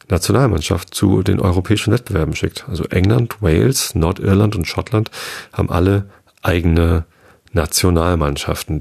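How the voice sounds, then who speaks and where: Low gravelly man. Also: darkish tone. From Northern Germany, Hamburg area. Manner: hesitant, many fillers and restarts. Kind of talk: reflective monologue.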